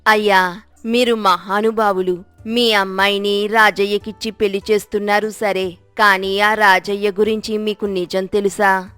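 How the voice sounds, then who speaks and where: A woman's voice: medium pitch, a bit bright, smooth, no room sound, natural voice. Woman acting theatrical older village gossip. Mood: scheming, alarmist. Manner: theatrical, wheedling, exclamatory. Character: sly village gossip older woman, dramatic, later contrite. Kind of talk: acted moral story dialogue.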